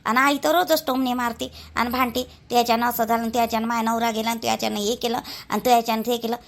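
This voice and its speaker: A woman playing a sharp-tongued relative who taunts and accuses. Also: on the high side, flat delivery, fast talker, close to an everyday voice. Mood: taunting. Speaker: actor in a family drama skit.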